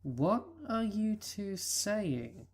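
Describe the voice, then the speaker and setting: A woman's voice: medium pitch, big pitch swings, drawn-out words, darkish tone, exaggerated voice. A woman voicing a shy, bookish teenage colt who stammers when flustered, in a fan audio reading.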